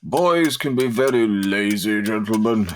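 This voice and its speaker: Callous period gentleman, low pitch, blunt, unfeeling, faint noise. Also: animated, unhurried. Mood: cold, blunt. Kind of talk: period audio drama acting.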